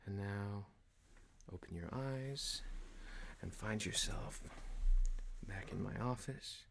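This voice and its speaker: A man playing a stern corporate boss in an ASMR roleplay, officious and deadpan, dryly menacing yet caring. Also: on the low side, slow, vocal fry, a little hiss or hum, exaggerated voice.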